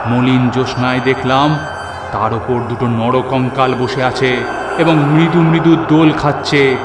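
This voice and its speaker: A man narrating a scripted horror story in the first person, theatrical and suspenseful. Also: mid-range voice. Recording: echoey, music underneath.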